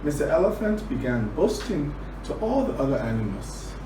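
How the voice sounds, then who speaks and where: Male storyteller-teacher, slightly high voice, slow deliberate delivery, repetition for emphasis, distant noisy recording. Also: sing-song, reverberant. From Liberia. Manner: deliberate, repeats phrases for emphasis. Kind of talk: children's storytelling and word lesson.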